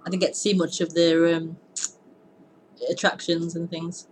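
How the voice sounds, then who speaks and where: A woman hosting a live-streamed non-duality sitting, speaking earnestly in halting phrases, often repeating words and breaking into laughter. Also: on the low side, level tone, slight background noise, low-quality recording. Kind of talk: live-streamed spiritual talk and Q&A.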